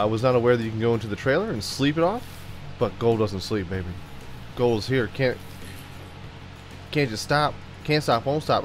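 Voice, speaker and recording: A young man with a stuffed-up cold voice, giving jokey let's-play commentary while he plays and riffing to himself. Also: mid-range voice. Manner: goofy, jokey, sings and riffs to himself. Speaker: gaming YouTuber.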